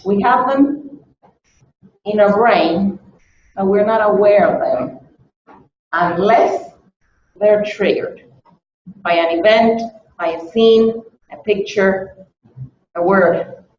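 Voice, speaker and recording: A woman's voice: medium pitch, slow pace, pauses often, a little hiss or hum. A woman from Chile, a language teacher, lectures on bias. She explains point by point and often checks in with "okay?". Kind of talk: workshop lecture. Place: meeting room. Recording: distant, echoey, dull room recording.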